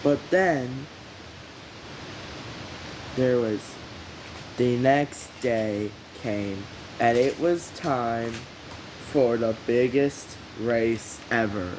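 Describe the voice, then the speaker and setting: A person reading a story aloud as narrator, in halting, drawn-out phrases with pauses, on a hissy recording. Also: mid-range voice. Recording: hissy, noisy home recording.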